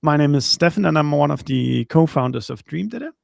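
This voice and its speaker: A man hosting a podcast interview, introducing his guest in a halting way, with lots of fillers and restarts and a small laugh now and then. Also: fairly high pitch, lively intonation, vocal fry, no room sound, clean studio sound. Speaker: podcast host, company co-founder.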